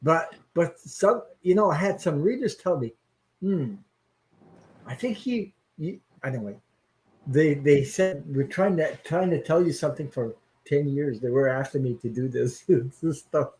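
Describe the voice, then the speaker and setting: A man hosting a live-stream chat, talking in an earnest, halting way on a video call. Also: a little high, a little mellow, poor audio. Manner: earnest, halting, stops and restarts as he opens up. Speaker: host.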